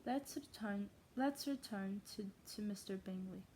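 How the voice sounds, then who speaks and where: A woman playing a dry, teasing older gentleman from a classic novel, in a clumsy put-on German and then Scottish accent, stumbling and restarting words. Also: mid-range voice, a little slow, a little hiss or hum, close to an everyday voice. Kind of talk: amateur read-aloud of a classic novel.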